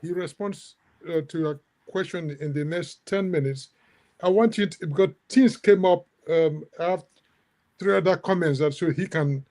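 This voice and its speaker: A middle-aged man moderating an academic discussion, halting, with many restarts and fillers. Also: a little high, warm tone. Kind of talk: academic discussion on a video call.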